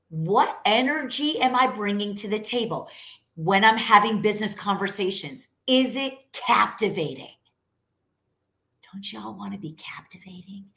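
New lady sales coach, loud and fired up, keeps asking the audience stuff. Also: mid-range voice. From New York. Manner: loud, energetic, emphatic, asks the audience questions. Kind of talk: live-stream coaching talk.

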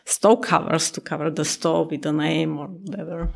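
Woman, Slovak accent, deep voice, fried edges, halting interview talk. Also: steady tone, vocal fry, a little mellow. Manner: hesitant with fillers, laughs often. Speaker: guest, Tai Chi and Qigong teacher.

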